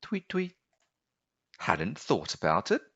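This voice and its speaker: A man voicing a small chirpy bird in a storybook, gently questioning like a guide. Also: on the low side, wildly swooping pitch, slow, husky, lo-fi sound, heavily acted. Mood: chirpy, gentle. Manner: chirping tweets, prodding questions. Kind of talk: storybook character voice.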